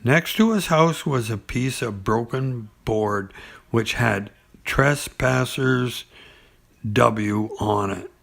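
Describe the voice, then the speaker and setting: A man reading a children's bedtime story in an unhurried, halting way, with pauses and small stumbles. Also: medium pitch, lively intonation, gravelly. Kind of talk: bedtime story reading with asides and character voices.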